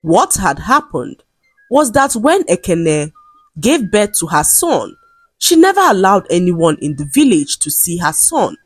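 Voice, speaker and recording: A woman narrates a scripted folktale in a measured, dramatic storytelling manner. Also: on the low side, breathy edge, dry recording. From Nigeria.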